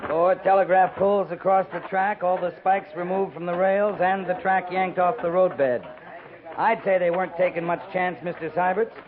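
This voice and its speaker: A man's voice: high voice, steady tone, moderate pace, a crowd in the background, natural voice. Stoic cavalry captain, played by a man, tinny old radio. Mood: brisk, commanding. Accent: American. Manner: clipped, dry, unsentimental authority. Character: seasoned cavalry captain, stoic, terse, gravely matter-of-fact. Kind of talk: 1950s American radio western acting. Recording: tinny band-limited 1950s radio recording.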